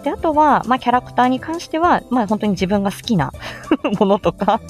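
A woman's voice: a little high, very animated, fairly quick, darkish tone, soft background music. A woman with an androgynous voice explaining in a casual, chatty live-stream style, as an amateur voice actor giving tips. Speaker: amateur voice actor explaining how to make voice samples.

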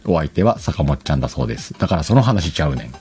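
A man's voice: rumbling low, sing-song, very fast, darkish tone, soft background music. A man hosting a solo podcast in a casual chat, playfully talking to himself and correcting himself as he goes. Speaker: podcast host. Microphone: external microphone, recorded on a computer.

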